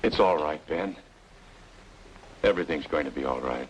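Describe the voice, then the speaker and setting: A man's voice: rumbling low, moderate pace, heavy background noise. An actor playing a hard-boiled, remorseful leading man in an old-time noir radio drama, terse and grave. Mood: reassuring.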